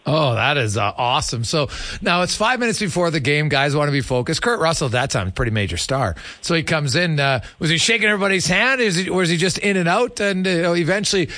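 Male sports interviewer, slightly high voice, casual delivery, very dry close-miked studio sound. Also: expressive, fast talker, few pauses, bright, forceful tone, dry recording. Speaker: sports radio host.

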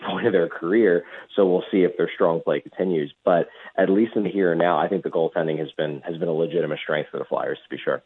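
A man speaking as a hockey reporter and radio guest, giving measured, conversational sports analysis at length over a phone line. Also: sits low, slightly rough. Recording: muffled tinny phone line.

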